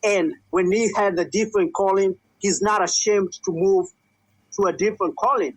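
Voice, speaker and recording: A man's voice: high voice, fairly even intonation, moderate pace, no echo, rough recording. A man from Kenya, a musician and comedian, answering interview questions earnestly and repeating his advice for emphasis.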